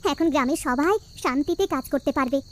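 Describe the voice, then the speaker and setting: A woman's voice: high voice, fast, natural voice. A woman with a West Bengal accent voicing an anxious, devout cartoon village wife who speaks emphatically and repeats herself. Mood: relieved, excited. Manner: plaintive, emphatic, repeats phrases.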